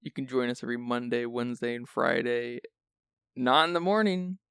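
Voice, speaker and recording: Film podcast guy, gravelly and smooth, kinda sleepy, rambly casual chat. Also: mid-range voice, moderate pace, a bit bright, no echo, studio quality. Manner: chatty, rambling with restarts. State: sleepy-sounding. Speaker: podcast host.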